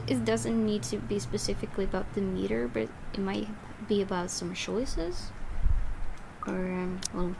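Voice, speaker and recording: A woman's voice: mid-range voice, vocal fry, background noise. A woman streamer reading a horror visual novel aloud, with joking asides between passages. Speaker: game streamer. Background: game music.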